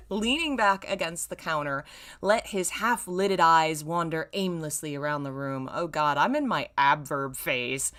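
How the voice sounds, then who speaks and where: A woman's voice: low voice, lively intonation, measured pace, fairly bright tone. A woman in her thirties reading a story aloud like a streamer, with self-mocking, wry asides and theatrical emphasis. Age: 30s. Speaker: streamer reading own old fan fiction.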